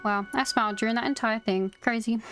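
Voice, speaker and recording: A woman game streamer giving casual, gushing commentary as she plays. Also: fairly high pitch. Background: game music.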